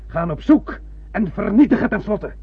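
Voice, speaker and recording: An actor playing an imperious, unyielding spaceship commander in a radio drama, scornful and theatrical. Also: male voice, high voice, animated, normal speed, dry recording, heavily acted. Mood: resolute. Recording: husky, tinny phone-like old radio recording.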